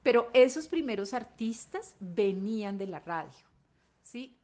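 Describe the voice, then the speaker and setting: A woman with a Colombian accent giving an unscripted expert explanation, didactic, pausing to search for words and adding short checking questions. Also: on the high side, expressive, a little mellow. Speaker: expert interviewee.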